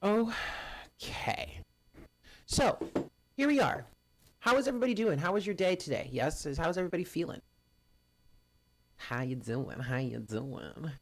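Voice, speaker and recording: A man hosting a live stream of readings, chatting casually with viewers and greeting them by name in a loud, carrying voice. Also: fairly high pitch, lively intonation, measured pace, pauses often, no room sound. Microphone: home stream mic, first too quiet, then louder.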